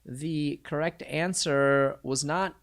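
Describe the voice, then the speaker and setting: A man's voice: a little high, fairly even intonation, slow pace, fairly bright tone. A man hosting a live quiz night, reading out questions and answers with joking asides. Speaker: trivia quiz host.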